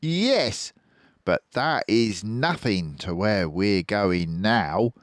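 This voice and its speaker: A man's voice: fairly low pitch, animated, drawn-out words, no echo, studio quality. A man voicing a confident, generous, scheming male fox for a children's storybook, grandly persuasive and savouring his words. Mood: eager, conspiratorial.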